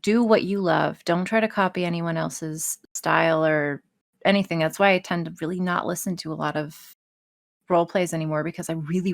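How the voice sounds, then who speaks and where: Low-pitched woman chatting casually. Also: vocal fry. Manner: frequent swearing, self-deprecating. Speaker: audio role-play creator being interviewed. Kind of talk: casual podcast chat.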